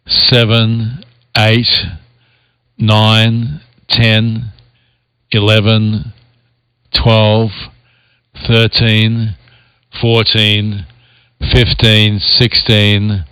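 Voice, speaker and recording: A man's voice: fairly low pitch, sharp tone, clean tone, dry recording. A man working as an amateur radio operator, talking steadily and counting out numbers for a signal check. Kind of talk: on-air radio contact chat.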